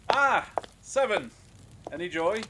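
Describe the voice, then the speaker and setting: A man's voice: high-pitched, sing-song, takes it slowly, very noisy, exaggerated voice. A man playing a grumpy, sarcastic captive with a cutting manner in an audio drama. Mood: brusque. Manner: scornful, irritable, cutting. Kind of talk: fan audio drama acting.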